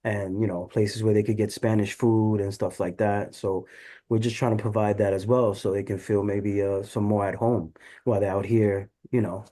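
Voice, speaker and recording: A man who owns a café, answering interview questions in a relaxed, laid-back way, often saying "you know". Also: fairly low pitch, moderate pace. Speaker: café owner, guest.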